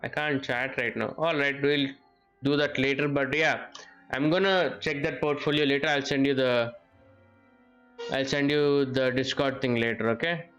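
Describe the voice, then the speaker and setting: A man live streaming a game, chatting casually and haltingly as he comments. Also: medium pitch, steady tone, fairly bright tone, dull audio, faint music behind. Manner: halting, repeats the game dialogue. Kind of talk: casual gameplay commentary and chat.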